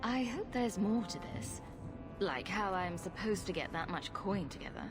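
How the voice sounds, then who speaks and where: A woman's voice: medium pitch, expressive, normal speed, natural voice. An actress playing a wry, sardonic female adventurer hero in a video game. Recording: game audio with long reverb and music.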